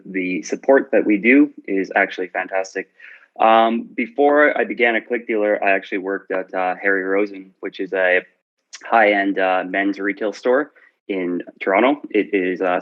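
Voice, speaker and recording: Deep, flat-voiced upbeat man. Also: lo-fi sound. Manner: upbeat, effusive, repeats words. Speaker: guest account manager. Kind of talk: casual self-introduction on an audio chat room. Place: Toronto.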